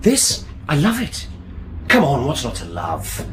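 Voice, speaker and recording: A man's voice: on the high side, huge pitch swings, a little slow, a little hiss or hum. An actor playing an eager, dreamy young man househunting with his partner, keen and a little defensive, in a radio drama.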